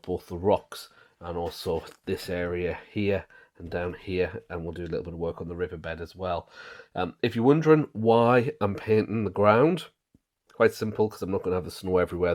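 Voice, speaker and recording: Low-voiced man with a head cold. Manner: chatty, relaxed, talks through each step with fillers. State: bunged up with a head cold, a little breathy. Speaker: channel host and model railway builder. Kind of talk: casual how-to vlog narration. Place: home garage workshop.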